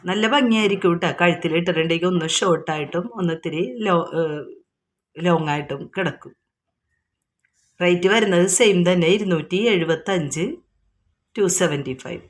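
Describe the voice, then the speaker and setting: A woman's voice: lowish voice, normal speed, pauses often. A woman with a Kerala accent informally showing products item by item, explaining each and repeating prices in an unscripted, repetitive way.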